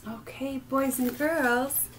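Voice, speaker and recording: A woman hosting a children's story time, reading a picture book aloud expressively in short, deliberate phrases. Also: high-pitched, fairly even intonation, slight background noise.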